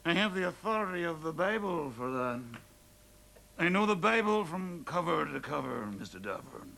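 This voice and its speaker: An actor playing a drunken, boastful Irish lodger who brags, laughs and shouts in an Irish accent. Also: male voice, a little high, very animated, moderate pace, creaky, full character voice. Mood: maudlin confiding.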